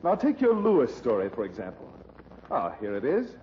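A man's voice: medium pitch, huge pitch swings, normal speed, slight background noise. A man playing a flustered, placating and sarcastic newspaper editor in a screwball radio comedy. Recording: muffled live stage recording.

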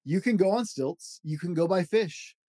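A man reads a children's storybook aloud in a slightly high, soft-edged mellow voice. His delivery is expressive and rhythmic, leaning playfully on the verse. The recording is close and dry. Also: normal speed, no echo. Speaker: podcast host reading children's books.

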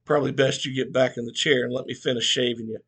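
A man's voice: medium pitch, steady tone, fast, vocal fry, a bit bright, husky. A man voicing an old small-town barber, folksy and scolding. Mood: coaxing.

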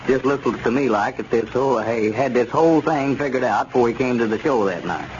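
An actor playing a sensible, plain-spoken small-town adviser in a 1930s radio serial. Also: male voice, mid-range voice, fairly quick, lightly acted. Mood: knowing. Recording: muffled, noisy 1930s radio recording.

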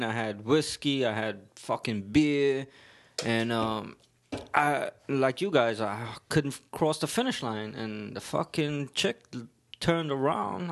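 Loud Brooklyn man telling stories. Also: medium pitch, creaky. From New York. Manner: loud storyteller, laughs hard at own stories. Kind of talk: casual chat.

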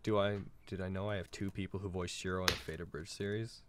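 A man narrating a tabletop game as game master, halting and conversational, with dramatic descriptions. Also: low voice, little pitch movement, heavy background noise.

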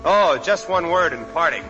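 An actor playing a tipsy, cynical American man who rambles in slangy, ironic wisecracks, in 1940s radio drama style. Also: a little high. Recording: dull 1940s radio broadcast, faint music.

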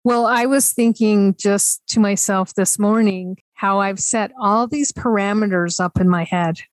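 A woman's voice: medium pitch, fairly even intonation, unhurried, no echo, low-quality recording. A woman author and speaker, a guest on a podcast, talking candidly and thoughtfully about her family and her own rules. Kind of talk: reflective conversation.

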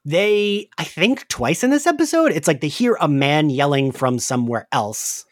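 A man co-hosting a casual recap chat, talking in an animated, conversational way with the odd swear word. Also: fairly high pitch, normal speed, no echo, clean studio sound. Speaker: podcast co-host.

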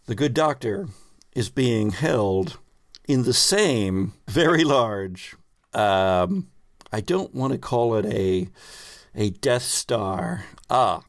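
A man's voice: lowish voice, animated, slow, no room sound, clean studio sound. A man hosting and narrating a tabletop roleplaying game as game master, with casual improvised phrasing and dramatic storytelling emphasis. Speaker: podcast host and game master.

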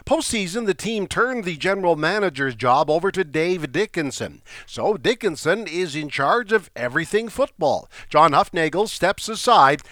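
A man hosting a sports show, talking through team news in a brisk, conversational way. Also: a little high, animated, bright, forceful tone, dry recording, clean studio sound. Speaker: radio show host. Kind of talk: scripted sports commentary.

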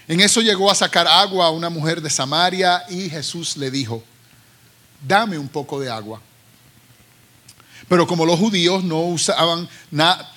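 A middle-aged Puerto Rican man preaching and reading scripture aloud. His voice is bright and a little higher than most men's. He talks with friendly asides and frequent small restarts. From Puerto Rico. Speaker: preacher from a seminary team.

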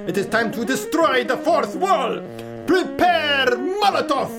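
A man's voice: very high voice, animated, unhurried, music in the background, exaggerated voice. Man playing a pompous comic revolutionary leader. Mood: grandiose. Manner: grandiose, declamatory, stilted phrasing. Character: pompous male leader of a guerrilla theater terrorist troupe. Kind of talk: comic radio play acting.

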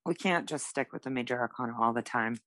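A woman banters casually on a podcast. She is playful and irreverent, quick to poke fun at herself. The sound is very dry, with little room to it. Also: a bass voice, a bit bright, no echo. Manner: playful, irreverent, self-deprecating. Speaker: podcast co-host.

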